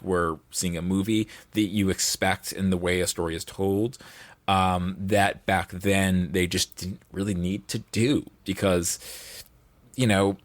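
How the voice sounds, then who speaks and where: Male podcast guest, lowish voice, dry sound, conversational and meandering. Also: no room sound. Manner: rambling, thinking aloud. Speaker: audio drama producer, guest.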